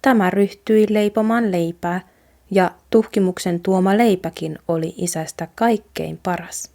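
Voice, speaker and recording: A woman's voice: mid-range voice, gravelly, warm tone. A woman from Finland narrating an old folk tale aloud from a storybook. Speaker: Finnish teacher reading aloud.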